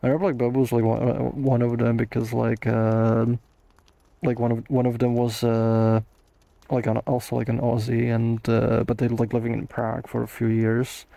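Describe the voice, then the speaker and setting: A man's voice: medium pitch, monotone, normal speed, creaky, warm tone, no room sound. A tired young man hosting a casual livestream Q&A, rambling with lots of fillers and restarts. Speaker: host answering viewer questions.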